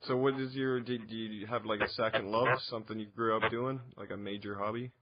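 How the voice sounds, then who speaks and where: A man's voice: on the low side, steady tone, fairly quick, no room sound. A Canadian man interviewing a guest in a loose, casual, conversational style. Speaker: radio interviewer. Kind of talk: casual, profane interview chat. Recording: dull, lo-fi close recording.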